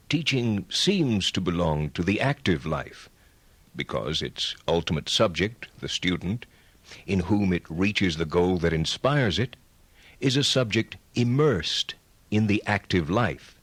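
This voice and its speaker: An actor playing a learned medieval friar and teacher, reading philosophical writings in a measured, formal, courteous manner. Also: male voice, sits low, animated, fairly bright tone, slightly rough, no room sound, full character voice. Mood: measured, explanatory.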